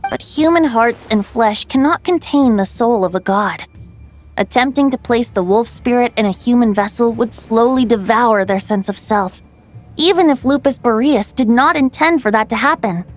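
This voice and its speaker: Woman acting as a high-voiced, poised female lore guide. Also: moderate pace, faint music behind, lightly acted. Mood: measured, solemn. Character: calm, playful female guide recounting ancient lore. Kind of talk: scripted game dialogue, storytelling.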